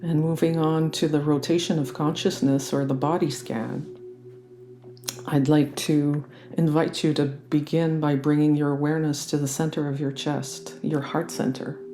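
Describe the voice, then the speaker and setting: A meditation guide leading a guided relaxation in a gentle, soothing and unhurried manner. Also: medium pitch, level tone, a little hiss or hum. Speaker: yoga nidra meditation guide.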